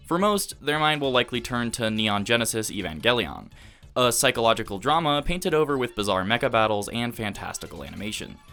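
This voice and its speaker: A man delivering scripted, analytical video essay narration in a measured, emphatic, rhetorical way. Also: mid-range voice, animated, bright, forceful tone. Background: background music.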